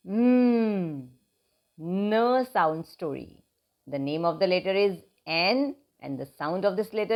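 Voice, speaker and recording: A woman's voice: medium pitch, lo-fi sound. A woman teaching young children phonics, patiently stretching out and repeating each letter sound. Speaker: kindergarten teacher. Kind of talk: children's phonics lesson with read-aloud story.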